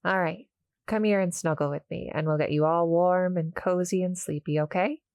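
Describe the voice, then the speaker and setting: A woman playing a shy, gentle succubus cuddle monster in an intimate roleplay audio, speaking hesitantly, softly and politely to the listener. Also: on the low side, fairly even intonation, moderate pace, no room sound, studio quality, close to an everyday voice. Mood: gentle, reassuring. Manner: hesitant, soft-spoken, polite, apologetic.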